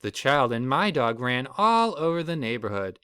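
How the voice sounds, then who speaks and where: A man's voice: medium pitch, big pitch swings, normal speed, fairly bright tone, clean tone, no room sound, clean studio sound. A man reading a children's storybook aloud as the storyteller.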